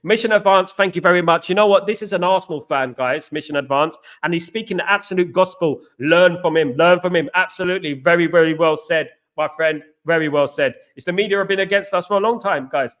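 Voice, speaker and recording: Male stream host, high voice, loose repetitive chat reading out viewer comments. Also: fairly quick, hardly any pauses. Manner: chatty, repeats himself, shouts out viewers. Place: China.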